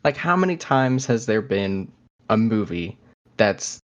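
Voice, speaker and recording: A man's voice: fairly low pitch, sing-song, a little slow. A man co-hosting a podcast in casual chat, talking in a hesitant, rambling way. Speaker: podcast co-host.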